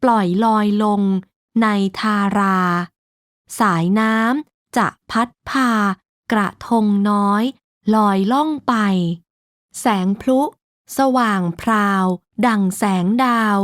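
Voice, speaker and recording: A woman narrating a rhyming children's storybook in a slow, measured, sing-song way. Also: mid-range voice, no room sound, clean studio sound.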